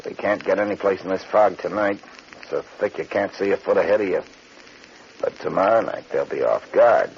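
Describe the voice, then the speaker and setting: An actor playing a menacing escaped convict with mock-friendly threats, in 1940s radio drama style. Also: male voice, sits low, fairly quick, gravelly, darkish tone. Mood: smug, commanding. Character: smooth-talking, menacing escaped convict, a wayward brother. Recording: dull, hissy 1940s radio broadcast.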